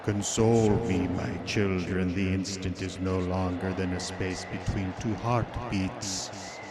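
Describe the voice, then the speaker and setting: A man playing a commanding, lonely vampire count in gothic radio horror, with slow, incantatory, seductive and menacing delivery. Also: low-pitched, fairly even intonation, very noisy. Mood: commanding incantation. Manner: slow, incantatory, drawn-out phrasing.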